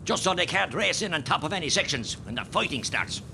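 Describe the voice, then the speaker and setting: An actor with an Irish accent playing an impatient, forceful rebel column commander giving orders in a radio play. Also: male voice, fairly high pitch, big pitch swings, a little fast, gravelly, noisy background. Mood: forceful, urgent. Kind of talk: radio play acting, shouted military orders.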